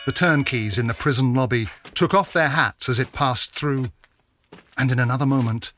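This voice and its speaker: A man's voice: medium pitch, normal speed, fairly bright tone, a little hiss or hum. A man narrating a serious audio drama in a grave, solemn manner.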